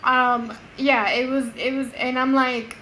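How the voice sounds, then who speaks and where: A woman's voice: high-pitched, flat delivery, measured pace, background noise. A woman co-hosting a podcast, telling a story in casual chat with friends and laughing often. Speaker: podcast co-host and notary.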